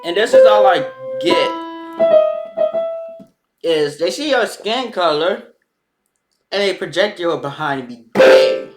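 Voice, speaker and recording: A man rambles through a casual vlog monologue, hesitating and restarting as he goes. His pitch swings widely and animatedly from phrase to phrase. Loud keyboard piano music plays right under his voice. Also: lively intonation, takes it slowly, pauses often, rough recording. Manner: meandering, hesitant, with frequent ums and restarts. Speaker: vlogger.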